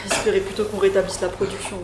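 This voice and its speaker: A woman's voice: medium pitch, flat delivery, fast, echoey room, heavy background noise, close to an everyday voice. A woman playing a weary colony medic. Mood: soothing. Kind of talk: amateur audio drama acting.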